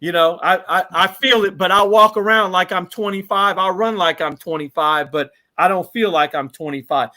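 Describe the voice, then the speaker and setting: Fifties male guest, high-pitched, reminiscing in loose chat, listing old friends. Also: normal speed, few pauses. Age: 50s. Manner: reminiscing, rattling off shout-outs. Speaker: podcast guest. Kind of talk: casual interview chat.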